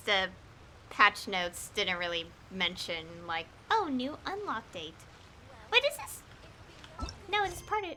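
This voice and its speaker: A woman's voice: high voice, sharp tone, a little hiss or hum. A woman streaming a game with casual, rambling commentary, thinking aloud.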